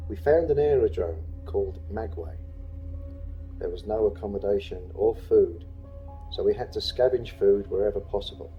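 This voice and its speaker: A man's voice: on the low side, moderate pace, soft background music. A man reading a scripted first-person memoir as narration, in a slightly dull recording.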